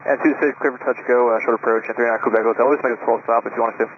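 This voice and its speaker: A man speaking as a pilot, reading back clearances over aviation radio. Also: mid-range voice, steady tone, very fast. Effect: radio transmission. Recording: muffled radio with faint static.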